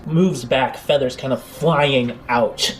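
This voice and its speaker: Male game master, mid-range voice, improvised halting descriptions, music playing behind. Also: mid-range voice. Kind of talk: improvised tabletop game narration. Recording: background music under the voice.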